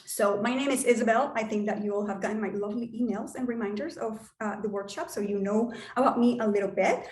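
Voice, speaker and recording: Woman, Colombian Spanish accent, casual and upbeat, mid-pitched voice, roomy video-call sound. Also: fast talker, darkish tone. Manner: casual and upbeat, with occasional swearing. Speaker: workshop host and wealth coach. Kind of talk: online workshop talk.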